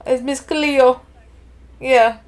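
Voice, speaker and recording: A woman co-hosting a podcast, chatting casually, halting and searching for words as she explains. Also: high voice, steady tone, darkish tone. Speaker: podcast co-host. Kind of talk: casual chat.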